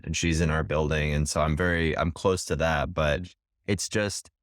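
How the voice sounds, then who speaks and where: A man's voice: a bass voice, dry recording, studio quality. A man hosting a podcast interview, enthusiastic and casual, with many fillers and restarts. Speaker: podcast host.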